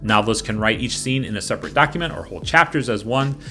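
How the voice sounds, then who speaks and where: A man's voice: medium pitch, animated, fast talker, bright, forceful tone. Man presenting over prominent background music. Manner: informal, explanatory, addresses viewer directly. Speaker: presenter of a software review video. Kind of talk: casual product walkthrough over screen capture.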